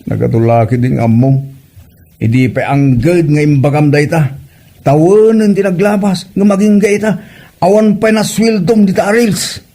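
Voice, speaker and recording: A man's voice: a little high, fairly even intonation, normal speed, dark tone. A man acting an exasperated, hungry husband who grumbles and complains in a comedy drama.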